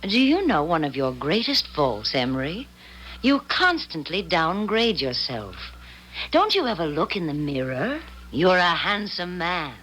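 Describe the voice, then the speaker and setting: A devil in the guise of a polished woman, sly and coaxing by nature. Her delivery is formal and smooth with very lively intonation, in the manner of a 1970s radio play. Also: fairly low pitch, measured pace, exaggerated voice, poor audio. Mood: probing, flattering. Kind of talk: 1970s radio drama acting. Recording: 1970s radio broadcast recording.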